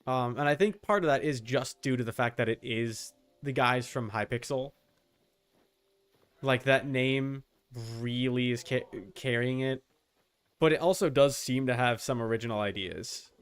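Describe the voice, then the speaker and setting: A man's voice: medium pitch, a little slow, clean tone. A man giving casual livestream commentary on a game, thinking aloud in slangy language with some swearing. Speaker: livestream host.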